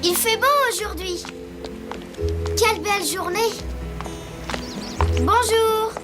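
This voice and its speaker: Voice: extremely high pitch, slow. An actor voicing a naive, polite young elephant in a cartoon, earnest and full of wonder. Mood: polite, cheerful. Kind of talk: animated cartoon dialogue. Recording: loud music under the voice.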